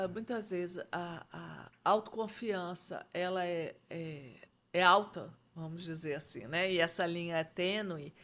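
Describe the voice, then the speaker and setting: A woman's voice: lowish voice, fairly bright tone. A middle-aged Brazilian woman with a Brazilian Portuguese accent, speaking as a guest expert and explaining leadership ideas in a reflective, conversational way. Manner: reflective, uses frequent "né?" and "entendeu?".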